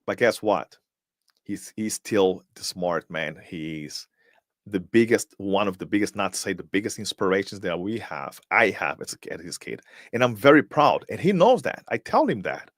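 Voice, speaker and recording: A man whose first language is Portuguese hosts a live motivational stream in a low voice that rises and falls widely. He pushes rhetorical questions at his listeners and often restarts on repeated words. The sound is close and dry, with no room around it. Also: lively intonation, moderate pace, dry recording. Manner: emphatic, fires rhetorical questions, repeats words while searching. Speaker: motivational speaker and host.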